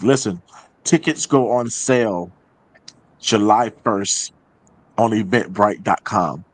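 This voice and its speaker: A man hosting a show, chatting casually through announcements and often repeating phrases for emphasis. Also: on the low side. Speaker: show host and playwright.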